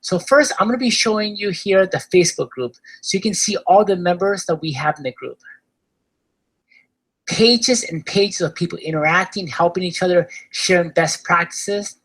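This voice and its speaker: A man with an Argentine accent giving an upbeat webinar sales pitch for his online course, often tagging his sentences with "right?". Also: normal speed, a bit bright, low-quality recording. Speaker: course seller presenting a webinar.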